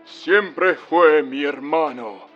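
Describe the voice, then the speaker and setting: A man playing a melodramatic betrayed lover in a soap opera, with dramatic pauses, heard as muffled television sound with music behind. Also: a little high, a bit bright. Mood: anguished. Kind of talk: overwrought Spanish soap opera acting.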